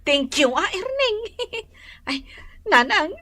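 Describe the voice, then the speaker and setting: An actress playing an excitable, exclaiming daughter in an Ilocano radio drama. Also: female voice, very high-pitched, slow pace, heavily acted. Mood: flustered, delighted.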